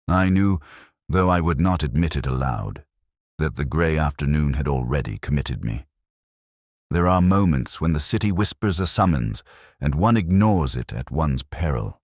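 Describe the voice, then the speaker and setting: A man narrating a literary detective story as a brooding, world-weary inspector, in a measured, reflective and formal manner. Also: a bass voice, no echo, clean studio sound. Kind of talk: literary first-person scripted narration.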